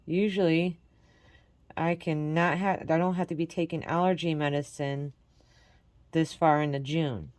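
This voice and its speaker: A woman from Michigan chats through a crafting tutorial in a friendly, encouraging way. Her voice is lowish and a little congested from allergies. The sound is dry and close to the mic. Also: fairly even intonation, measured pace, long pauses, a little mellow, no echo. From Michigan, USA. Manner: chatty, encouraging, talks while working. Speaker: crafting channel host. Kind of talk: casual crafting tutorial chat.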